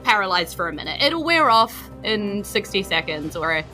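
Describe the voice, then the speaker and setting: A woman's voice: medium pitch, big pitch swings, normal speed, bright, forceful tone, faint music behind, rough recording. A woman with a New Zealand accent casually narrating a tabletop game as game master, playful in manner.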